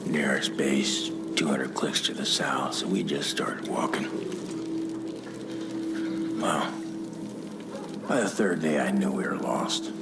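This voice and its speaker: A man with an American accent playing a menacing older army veteran turned cult commander in a video game, telling stories deliberately and breathily. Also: extremely low pitch, full character voice. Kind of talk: video game villain monologue. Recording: loud music behind the voice. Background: loud music.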